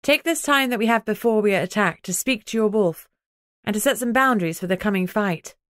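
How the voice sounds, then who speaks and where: A woman voicing a brisk, resolute female alpha werewolf in an audiobook, firm and instructing. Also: mid-range voice, lively intonation, a bit bright, dry recording, clean studio sound, natural voice. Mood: rallying, urgent.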